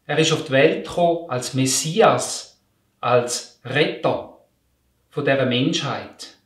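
A man, a youth pastor, giving a calm, deliberate Christmas message and reading scripture. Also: mid-range voice. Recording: echoey room, mic at a distance.

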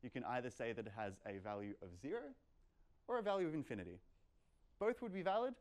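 A man's voice: mid-range voice, sing-song, fast, darkish tone. Young man giving an informal technical talk. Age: young adult. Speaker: lecturer at a meetup. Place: lecture room, indoors.

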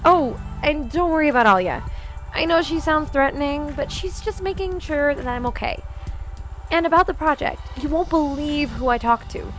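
A woman's voice: sits high, lively intonation, normal speed, a bit bright, faint music behind, poor audio. A woman voicing a cheerful, easily flustered teenage girl heroine in a comic dub. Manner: bubbly, flustered.

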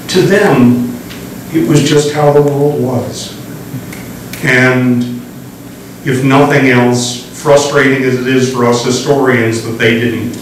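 Slow, deliberate middle-aged male historian. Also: medium pitch. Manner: measured, deliberate phrasing with long pauses. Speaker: historian lecturer. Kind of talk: public lecture. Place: library meeting room with audience. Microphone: room mic from a distance. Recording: echoey hall, distant mic, faint hiss.

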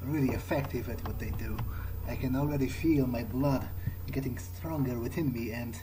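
A man narrating a gameplay video in character, thinking aloud in a halting way. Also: mid-range voice, noisy background. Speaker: gaming video host. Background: game music.

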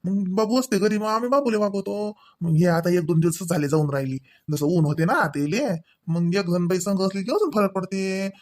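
A man's voice: sits high, moderate pace, darkish tone, natural voice. A man playing a fair-minded, chiding elderly village father-in-law in a comedy cartoon, speaking colloquially with a Varhadi Marathi accent and questioning tags. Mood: matter-of-fact.

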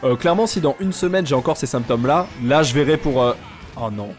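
A man's voice: mid-range voice, fairly quick, faint music behind. Congested young man rambling on stream. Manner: rambling, deadpan joking, chatting with viewers. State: congested, sick with sinusitis. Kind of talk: casual live-stream chat while gaming.

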